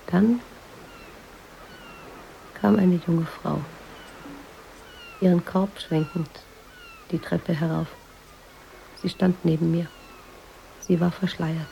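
Thoughtful woman writer telling her memories, radio play, hushed n measured, really gravelly mellow voice. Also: fairly low pitch, fairly even intonation, a little hiss or hum, full character voice, rough recording. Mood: reflective, calm. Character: reflective American woman writer in 1950s Tangier, recalling. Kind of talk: intimate literary radio drama narration.

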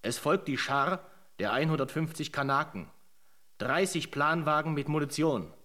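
A formal, higher-pitched radio chronicler. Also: male voice, expressive, normal speed, pushed and bright. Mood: measured. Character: chronicler narrating a colonial history. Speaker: narrator. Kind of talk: scripted radio drama narration.

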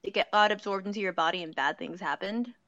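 A young woman with a bright, forceful tone recaps TV plots frankly, peppering her talk with "like". Her audio is muffled and lo-fi, with a dry, close sound. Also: medium pitch, dry recording. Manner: quick, frank storytelling with "like" fillers. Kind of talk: casual chat about TV shows.

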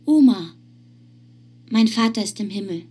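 An actress playing a dreamy, earnest eight-year-old girl in a radio drama, speaking in a slow, childlike way. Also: mid-range voice, lively intonation, warm tone, slightly rough. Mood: dreamy, proud. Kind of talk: German radio drama acting.

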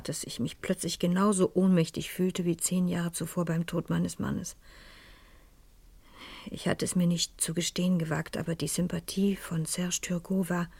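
Older genteel widow, husky breathy low voice, grave and shaken, hesitant, radio crime drama, dry studio sound. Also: female voice, a little mellow, no echo. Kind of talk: German radio crime drama acting and narration.

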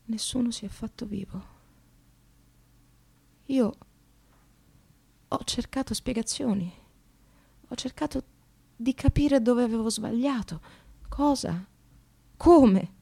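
An actress playing a sardonic, separated working mother in a radio monologue, confessional and swinging from hushed to vehement. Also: fairly high pitch, slow, gravelly, pauses often, breathy edge, full character voice. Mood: anxious, searching. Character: Sara, bitter, self-mocking separated mother in precarious work.

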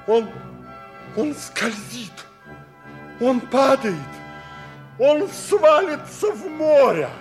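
An actor playing an emotional, courteous older gentleman in a sentimental 1960s Soviet radio play. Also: extremely high pitch, sing-song, drawn-out words, pauses often, exaggerated voice. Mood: frantic despair. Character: emotional older gentleman amateur astronomer, an uncle. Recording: old radio recording with music.

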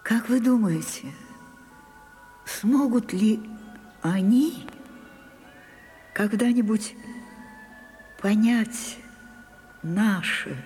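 Radio drama role: older, theatrical grande dame, nostalgic, slow and measured, sinking towards breathy softness, light music behind. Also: female voice, medium pitch. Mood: wistful, grand. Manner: measured, dramatic pauses, falls to a breathy whisper. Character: Sarah Bernhardt, grand wistful ageing actress, theatrical and nostalgic. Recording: 1980s radio play with faint music.

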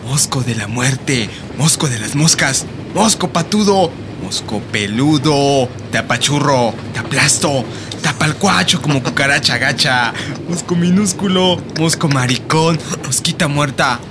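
A man's voice: animated, unhurried, natural voice. A man acting a taunting, playful Mexican migrant in a radio drama, mocking in a singsong way with a Mexican accent. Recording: clear rumbling noise behind.

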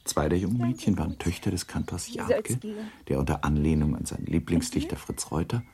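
A man narrating a literary radio drama in a measured, deliberate storytelling manner, with a gravelly, husky voice. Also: low voice, animated, mellow. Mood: measured, descriptive. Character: measured narrator of a classic novel.

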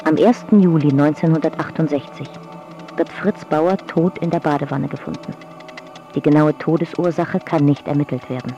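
An actress as the narrator of a historical radio drama, reading a chronicle in a sober, measured documentary manner. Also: female voice, deep voice, mellow, lo-fi sound, soft background music.

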